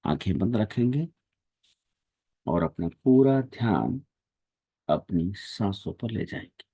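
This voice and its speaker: A man leading a guided meditation and devotional prayers in a calm, measured, devotional manner. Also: on the low side, slightly rough, no room sound.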